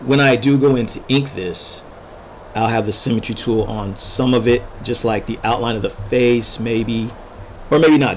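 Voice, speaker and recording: A man casually narrating a drawing tutorial as he sketches, thinking aloud in short unhurried fragments, his voice a little worn from talking. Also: mid-range voice, slight background noise. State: losing his voice, throat-clearing. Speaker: art tutorial host, illustrator.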